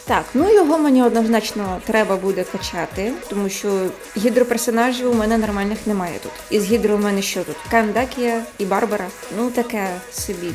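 A woman gaming vlogger chatting casually to viewers as she plays, thinking aloud in a halting way. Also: mid-range voice, darkish tone. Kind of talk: casual gacha-pull commentary to viewers. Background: music.

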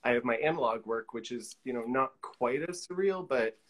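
A man's voice: mid-range voice, expressive, normal speed, fairly bright tone. A man, chatting casually as an interview guest on a video call. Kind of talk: casual chat about own work. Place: room at home, video call.

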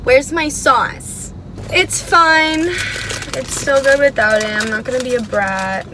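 A woman's voice: high-pitched, fairly even intonation, takes it slowly. A young American woman chatting casually and dramatically to camera, like a vlogger telling a story. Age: young adult. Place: inside a car, partly driving. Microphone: camera mounted on dashboard. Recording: loud car and road noise.

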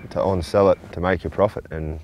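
Male tradie, low register, casual talk, faint work-site noise. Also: steady tone, fairly quick, darkish tone. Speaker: tradesman, solar farm worker. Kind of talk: casual chat.

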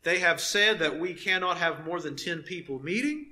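A man in his thirties preaching a sermon as a pastor, earnest and emphatic, leaning on pauses and repeated phrases. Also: a little high, pushed and bright. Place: nearly empty church sanctuary, behind a pulpit.

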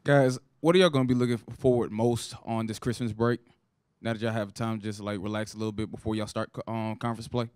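A man's voice: on the low side, fairly even intonation, brisk, darkish tone, clear, resonant tone, no echo, clean studio sound. A male reporter asking casual, rambling questions at a press conference.

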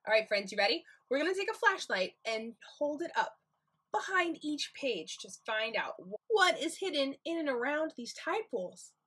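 A woman's voice: sits high, sing-song, moderate pace, a bit bright. A woman reading a picture book aloud to young children as their teacher, expressively, often putting questions straight to them.

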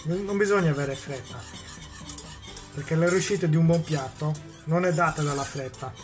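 A man's voice: on the high side, moderate pace, over music. A man hosting a cooking demonstration in a home kitchen, explaining each step and answering his own questions. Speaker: cooking show host.

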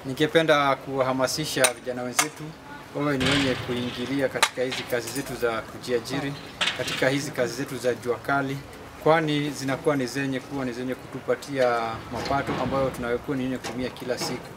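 A man's voice: mid-range voice, little pitch movement, fast. A young man with a Kenyan accent gives a fluent interview statement as a youth group leader. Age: young adult. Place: open dirt yard outdoors. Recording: noisy outdoor location sound.

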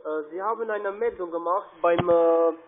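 A man with a foreign accent giving a hesitant, repetitive sales pitch by phone as a call center agent. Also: moderate pace. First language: not German. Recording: tinny narrow phone line.

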